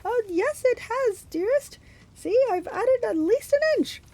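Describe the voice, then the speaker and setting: A nervous young male captive in a fantasy storybook puts on a very high falsetto to pass as a sweet bride, performed by a woman. The delivery is coy and placating, with stretched, syrupy words. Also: expressive, measured pace, warm tone, heavily acted. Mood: nervously sweet. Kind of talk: audiobook-style character voice in a fantasy story.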